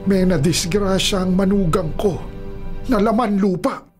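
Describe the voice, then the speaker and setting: A man playing a grave, superstitious old grandfather with a deliberate, solemn manner in a Filipino radio drama. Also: sits high, over loud music. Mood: alarmed, grave.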